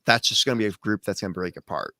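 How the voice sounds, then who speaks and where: A man, co-founder of a livestream show, recalling its start in an earnest, halting way full of fillers. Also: on the low side, lively intonation, bright, forceful tone, no room sound, studio quality. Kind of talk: casual livestream chat.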